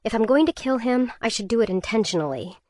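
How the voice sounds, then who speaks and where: A woman narrating a first-person survival thriller audiobook in a tense, measured way. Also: fairly high pitch, expressive, a little mellow, no echo, clean studio sound.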